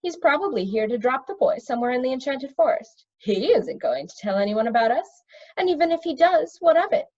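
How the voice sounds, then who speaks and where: A smooth, fluid-toned wizard ringleader played by a woman reading aloud. He is a condescending storybook villain who waves doubts away. The recording sounds dull. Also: high voice, animated, brisk, a little mellow, voice pushed up. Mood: dismissive, confident. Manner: condescending, dismissive.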